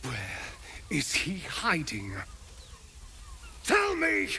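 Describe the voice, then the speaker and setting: An actor playing a proud, vengeful vampire rogue in a video game, with an arch, sneering, dramatic delivery. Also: male voice, fairly high pitch, huge pitch swings, very slow, vocal fry, pushed and bright, a little hiss or hum, exaggerated voice. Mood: threatening.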